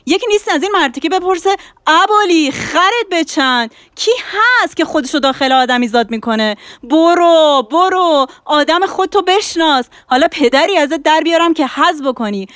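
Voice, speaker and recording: A woman acting out a loud, sharp-tongued wife in an audiobook, scolding and hurling insults in a high voice. She shouts and jeers, cutting every line short. The recording is close and dry. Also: expressive, few pauses, no echo. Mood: indignant.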